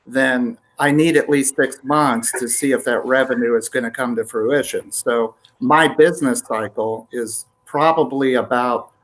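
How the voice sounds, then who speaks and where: A man's voice: medium pitch, low-quality recording. A man in a webinar talking about his own business, thinking aloud and joking lightly. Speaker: webinar participant, small business owner.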